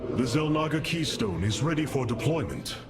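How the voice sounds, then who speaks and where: A man's voice: mid-range voice, animated, moderate pace, very noisy, natural voice. A man playing a noble, resolute alien commander in a video game, speaking formally and solemnly like an orator. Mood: solemn, reassuring. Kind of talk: dramatic video game voice acting. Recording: loud orchestral music behind the voice.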